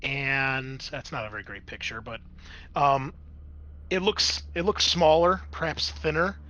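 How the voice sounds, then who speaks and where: A man's voice: mid-range voice, unhurried, bright, forceful tone, lo-fi sound. A man running a tabletop roleplaying game as game master, improvising scene narration and explaining dice rolls.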